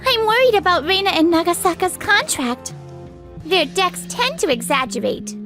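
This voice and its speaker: A woman's voice: extremely high pitch, very animated, normal speed, a bit bright, faint music behind, exaggerated voice. A woman voicing an earnest, easily flustered young businesswoman in a romantic comedy manga dub. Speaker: voice actor.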